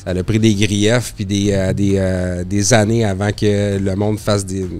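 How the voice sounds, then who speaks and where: A man's voice: lowish voice, flat delivery, unhurried, mellow. A man with a Québécois accent, a guest explaining radio communications at length on a podcast, with many restarts and fillers. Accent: Québécois French. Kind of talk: casual explanatory podcast talk.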